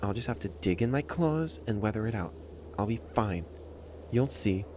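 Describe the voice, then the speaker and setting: A low-pitched, proud stray catboy, acted by a man speaks straight to the listener in an emotional audio roleplay. He is haughty and halting, a defensive tsundere front over loneliness. Clear noise sits behind the voice. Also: animated, lightly acted. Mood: flustered, stoic.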